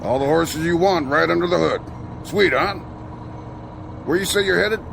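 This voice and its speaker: An actor in a radio drama playing an easygoing long-haul truck driver with a gravelly voice and folksy, casual talk. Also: male voice, a little high, moderate pace, background noise. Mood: breezy, chatty.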